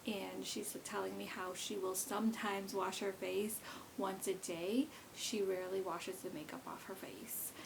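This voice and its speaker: A woman's voice: medium pitch, steady tone, unhurried, creaky, background noise. A woman in her thirties, pregnant, talking casually to camera as a beauty vlogger, rambling with lots of fillers. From South Korea. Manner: chatty and rambling, many fillers.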